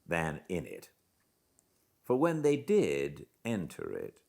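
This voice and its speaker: A British man reading a classic novel aloud as an audiobook narrator, in a measured, theatrical manner with dramatic pauses. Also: sits low, a bit bright. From Britain. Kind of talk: expressive literary audiobook narration.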